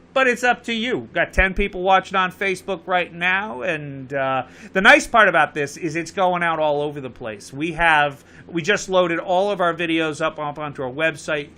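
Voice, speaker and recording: A man's voice: fairly high pitch, sharp tone. A man with a Connecticut accent hosting a live call-in show, urging viewers to phone in and repeating the number. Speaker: show host.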